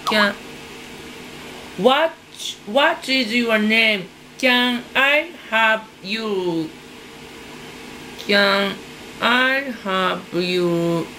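A woman's voice: medium pitch, pushed and bright, a little hiss or hum, rough recording. A woman in her forties with a Japanese accent, a native Japanese speaker, hesitantly repeating phrases in an online English lesson, recorded by a webcam at a desk in a small room. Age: 40s. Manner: hesitant, repeats phrases slowly while learning. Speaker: English learner.

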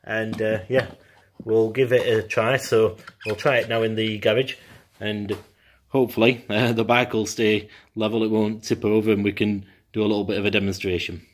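Man, low steady voice, hesitant casual demo, ums and uhs, phone recording, echoey garage. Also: moderate pace, a little hiss or hum. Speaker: product reviewer. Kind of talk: casual product demonstration. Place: home garage. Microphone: mobile phone camera.

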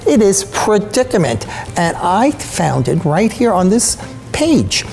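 A man's voice: high-pitched, very animated, takes it slowly, gravelly, a little mellow, faint music behind. An older man hosting a children's book interview show. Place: indoor studio set with brick walls, seated at a table.

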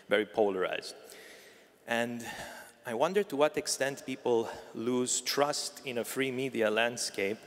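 A man's voice: lowish voice, a bit bright. A man from Romania in the audience, asking a long, thoughtful question at a panel discussion. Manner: thoughtful, builds his question at length. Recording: long hall reverb, from the audience.

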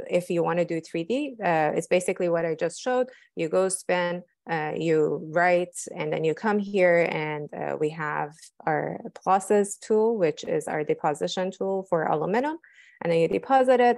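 A young woman scientist walking through a cleanroom fabrication lab, explaining the tools with many fillers and pauses. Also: on the low side, gravelly, a little mellow, dry recording, studio quality. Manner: hesitant, many fillers, technical. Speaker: lab scientist giving a tour.

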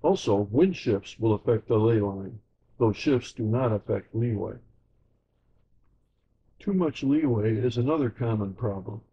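A man giving a scripted sailing lesson as slideshow narration, in an even, measured explanatory way. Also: lowish voice, lo-fi sound, a little hiss or hum. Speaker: sailing instructor.

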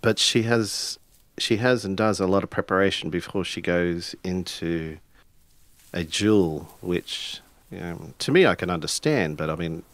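A man improvising dialogue and narration in a tabletop roleplaying game, haltingly searching for words. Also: low-pitched, fairly bright tone, no room sound.

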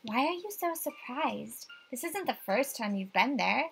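A woman's voice: fairly high pitch, lively intonation, a little slow, vocal fry, fairly bright tone, husky, full character voice. A woman voicing a superstitious young female realtor, an earnest, slightly defensive visual novel heroine.

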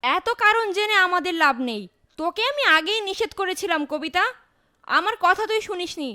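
A woman's voice: extremely high pitch, animated, moderate pace, bright, forceful tone, exaggerated voice. A woman voicing a worried, scolding mother in a fairytale cartoon, urgent and admonishing.

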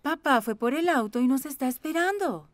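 A woman playing a caring young mother, tender and concerned yet firm, in an animated children's show. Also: high-pitched, moderate pace, dry recording. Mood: brisk.

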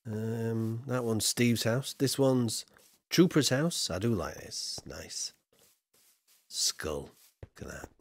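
Tired man streaming with lively pitch. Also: slow pace, gravelly, husky, dry recording. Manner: jokey, mimics accents in short bursts, counts under his breath. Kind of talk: casual live-stream chat while building.

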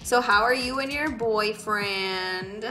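Woman, 30s, upbeat teasing chat, bright tone, soft music behind. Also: medium pitch, takes it slowly. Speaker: makeup brand owner and host. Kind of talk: casual get-ready-with-me chat.

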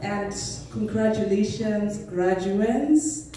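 A woman's voice: mid-range voice, slow, warm tone, slight background noise. A woman from Zimbabwe giving a solemn, prayerful blessing to graduates. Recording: echoey hall from far off.

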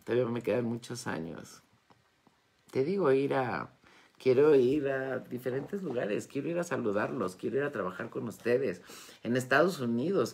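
A woman in her fifties with a Mexican accent, chatting casually and effusively with followers in a live session. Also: extremely low pitch. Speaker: wellness and metaphysics teacher hosting a live Q&A. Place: indoors, home study with bookshelves. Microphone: phone or tablet at arm's length.

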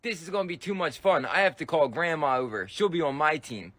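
A man's voice: fairly high pitch, animated, brisk, heavy background noise, voice pushed down, natural voice. A man voicing a lazy, sarcastic teenage big brother who mocks his younger sibling. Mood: mocking lazy. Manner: mocking, lazy drawl.

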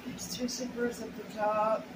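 A woman's voice: a little high, flat delivery, a little slow. Middle-aged woman, plain-spoken haul vlogger, casual rambling delivery in short bursts, roomy and noisy home recording. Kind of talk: casual unboxing chat to camera. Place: small plain indoor room at home.

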